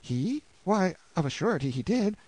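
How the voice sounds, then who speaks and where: Male narrator doing a young peasant wife, high and imploring, begging breathlessly. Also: very animated, moderate pace, darkish tone, husky, no echo, heavily acted. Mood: earnest. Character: desperate pleading peasant wife, young woman.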